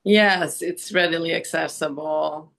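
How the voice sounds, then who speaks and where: Gravelly-voiced Quebecois woman guest. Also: deep voice, steady tone, unhurried, fairly bright tone. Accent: Quebec French. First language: Quebec French. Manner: reflective, laughs often, trailing restarts. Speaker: podcast guest. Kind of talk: casual conversational interview.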